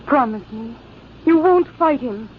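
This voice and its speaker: A woman's voice: on the high side, lively intonation, measured pace. An actress playing an anxious, dignified young lady with formal, pleading speech in an old-time radio drama. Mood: tender, worried. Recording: dull, noisy vintage radio recording.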